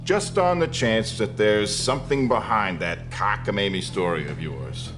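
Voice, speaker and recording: Brusque, skeptical police detective, lively delivery. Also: male voice, lowish voice, a little slow, over music. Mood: dismissive. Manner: brusque, dismissive, streetwise tough talk. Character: gruff skeptical male LAPD police detective, threatening. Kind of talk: video game noir dialogue acting.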